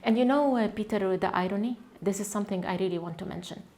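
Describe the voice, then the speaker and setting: A woman whose first language is Arabic, speaking English as an interview guest in an earnest, halting way with frequent restarts. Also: medium pitch, dark tone. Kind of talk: conversational interview.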